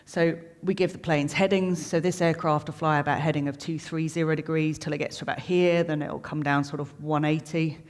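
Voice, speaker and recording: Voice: medium pitch, flat delivery, brisk, few pauses. A middle-aged air traffic controller giving an informal explanatory talk, plain-spoken and chatty, making technical detail simple. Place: reverberant room, live talk to an audience. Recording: long echoing hall reverb.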